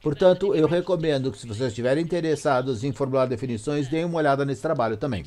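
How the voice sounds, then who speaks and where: A man with a Brazilian Portuguese accent interpreting an academic lecture live, in halting phrases with self-corrections. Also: mid-range voice, darkish tone.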